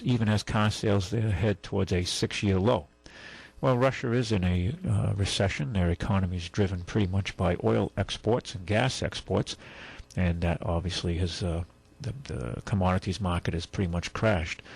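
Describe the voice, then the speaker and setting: Male radio host, deep and gravelly, husky tone, rambling with lots of fillers. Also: darkish tone. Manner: rambling, many fillers and restarts. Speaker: radio car show host. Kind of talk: casual radio talk.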